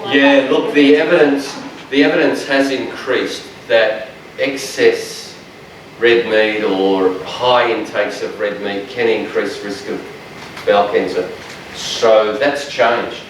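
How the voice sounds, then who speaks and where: A man, a dietitian giving a lecture to health workers in a large room, with a loud, strong voice and a passionate, joking manner. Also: fairly low pitch, steady tone, slow pace, slight background noise. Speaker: dietitian presenter. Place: large echoey training room. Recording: echoey room, speaker far from mic.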